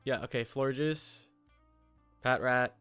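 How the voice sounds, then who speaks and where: Casual male streamer with clear, dry sound. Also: mid-range voice, slow pace, fairly bright tone, no room sound, faint music behind. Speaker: streamer reacting to a video. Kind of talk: casual live reaction commentary.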